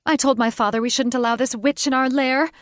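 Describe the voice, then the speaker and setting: A woman voicing a furious young woman turning into a bird-creature, with a shrill, frantic, accusing way of talking. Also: steady tone, brisk, no echo, studio quality, close to an everyday voice.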